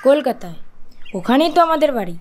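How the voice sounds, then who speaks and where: A woman's voice: high-pitched, very animated, quick pace, slight background noise. A woman voicing an eager, playful and impatient young boy in a cartoon drama. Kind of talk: Bengali cartoon drama acting.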